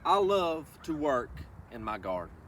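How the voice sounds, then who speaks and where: A man's voice: medium pitch, very animated, unhurried, fairly bright tone. A man reading a picture book to children, speaking to them directly in a folksy, instructive way. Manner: instructive, addresses listeners directly with folksy asides and questions. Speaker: presenter of a children's read-along. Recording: distant microphone with faint background noise.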